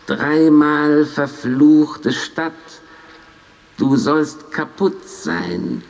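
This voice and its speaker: An actor playing War, a bloodthirsty, boastful god, in bombastic declamatory radio-play style. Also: male voice, a little high, steady tone, lo-fi sound. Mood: threatening. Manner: bombastic, declamatory, slow and menacing. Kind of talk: classical comedy radio play acting in verse. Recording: long reverberant hall sound.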